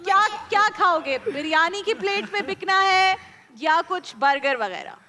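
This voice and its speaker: High, bright-voiced Pakistani woman hosting. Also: expressive, unhurried, big-room sound. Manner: chatty, teasing, encouraging. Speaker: TV show host. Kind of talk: playful unscripted chat with children. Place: TV studio.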